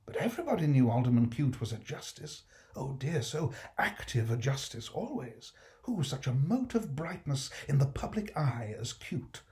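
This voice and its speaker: A man's voice: mid-range voice, moderate pace. A man narrating a classic novel expressively, recorded on a built-in computer mic. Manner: expressive storyteller. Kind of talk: dramatic reading of a classic novel.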